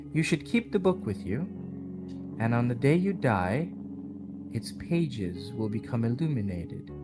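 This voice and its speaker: A man voicing a solemn, cryptic and sympathetic mysterious woman in an audio drama, speaking in formal, measured phrases. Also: mid-range voice. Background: guitar music.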